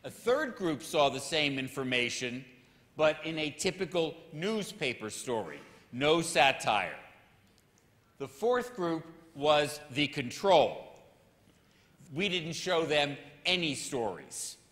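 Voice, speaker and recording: A man in his sixties presenting research findings from a lectern on a large stage, in a measured, clear explanatory manner. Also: a little high, very animated, fairly bright tone. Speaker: research center director giving a talk. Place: large stage in a dark auditorium. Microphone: lectern microphones. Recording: hall reverb from a big auditorium.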